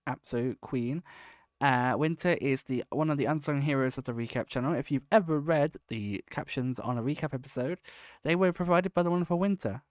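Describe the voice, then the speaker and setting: Male podcast host, casual and meandering, slightly sniffly; crisp voice, dead-dry close mic. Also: mid-range voice, dry recording. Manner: rambling, self-correcting, warmly grateful. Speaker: podcast host and project lead. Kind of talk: casual solo podcast talk.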